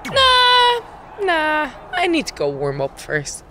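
A woman's voice: high-pitched, huge pitch swings, takes it slowly, pushed and bright, a little hiss or hum, heavily acted. An actress playing a brash, cocky woman wrestler in a video game, taunting and swaggering. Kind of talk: scripted video game cutscene acting.

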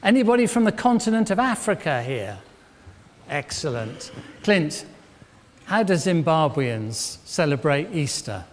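A man's voice: a little high, very animated, measured pace, slight background noise. An older man leading a church service, joking and teasing as he interviews members on stage with a handheld mic. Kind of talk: leading an all-age service. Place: large church hall. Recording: reverberant church hall.

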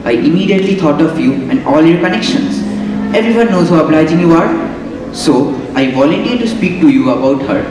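A man's voice: a little high, animated, a little fast, lightly acted. A young man playing a friendly, obliging male painter on a school stage, speaking in a formal, theatrical way. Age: young adult. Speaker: student actor. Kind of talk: stage acting in a school play. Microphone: far stage mic. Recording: echoey hall from far off, noise.

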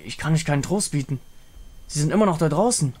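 A man's voice: fairly high pitch, expressive, fast, a little mellow. A man voicing a solemn, fatalistic female moon aspect from a fantasy game story. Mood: bleak despair.

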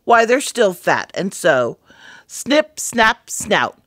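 A woman narrating a children's fairy tale for story time, with expressive, dramatic delivery. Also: medium pitch, slow pace, no room sound.

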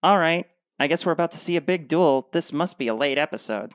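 A woman's voice: sits low, lively intonation, brisk, no room sound. Woman playing an exasperated grump, dry sound. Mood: impatient. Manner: dramatic, exasperated. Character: exasperated, grumpy woman who dislikes people.

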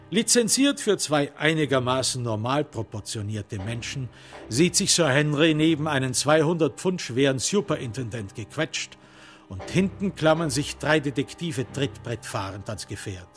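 A man narrating a scripted crime radio drama in a measured, literary and factual way. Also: on the high side. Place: studio.

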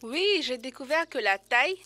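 A playful baby elephant in a children's cartoon. The voice is high, bright and eager, friendly, with music underneath. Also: female voice, expressive, moderate pace.

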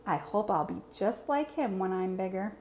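Animated woman reading a children's storybook aloud. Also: medium pitch, normal speed. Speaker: read-aloud presenter, teacher. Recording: clear background room noise.

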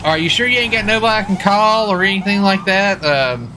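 A man role-playing a police officer in a casual, improvised game, talking in a folksy, excitable way and often repeating himself. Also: high-pitched, brisk. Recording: faint background noise, game sirens. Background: game sirens and vehicle sounds.

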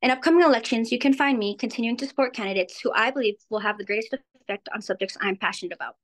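A seventeen-year-old girl from New Mexico reads a prepared speech over a video call. Her voice sits a little high and she moves through the text quickly. She sounds earnest throughout. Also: poor audio. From New Mexico, USA. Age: teen, 17. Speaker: youth speaker. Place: indoors, virtual background. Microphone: video call webcam.

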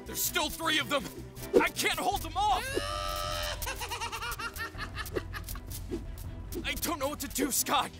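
An actor voicing a serious, curt young mercenary in a fantasy audio drama. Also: male voice, very high voice, moderate pace. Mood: alarmed. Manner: curt, earnest, tactical. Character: serious, gruff-mannered young male mercenary in training. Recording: faint music behind the voice.

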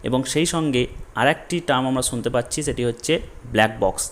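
Man, medium pitch, didactic explainer style, emphatic repetition of key terms, informal direct address. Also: a little fast. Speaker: educational video host, explainer.

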